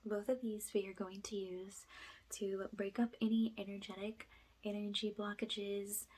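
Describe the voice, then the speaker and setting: A woman leading an ASMR guided spiritual healing session, speaking softly and breathily, often in a whisper, with slow, gentle, soothing guidance. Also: medium pitch, level tone, a little hiss or hum, low-quality recording. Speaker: ASMR energy healer, host.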